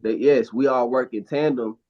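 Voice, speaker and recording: A man's voice: mid-range voice, fairly even intonation, normal speed. A man, a guest actor and model, talks in a casual interview. He gives earnest, emphatic advice and often restarts his sentences. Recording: dull lo-fi sound.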